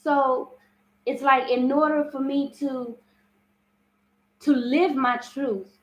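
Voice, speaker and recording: Slow, earnest woman with a big voice. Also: high-pitched, steady tone, echoey room, rough recording. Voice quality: strong, big voice. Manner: earnest and repetitive, frequent "you understand?". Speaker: spiritual self-love host. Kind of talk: live-streamed motivational talk.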